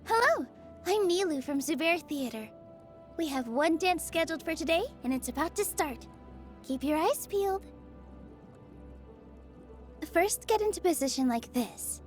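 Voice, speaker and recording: A young woman dancer from a video game, with a very high, sweet voice. The temper is gentle, sunny and earnest, always softly encouraging. Faint music plays underneath. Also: lively intonation, measured pace, pauses often. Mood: cheerful, coaxing. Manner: sweet, soft-hearted, bubbly, coaxing. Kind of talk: scripted video game character lines.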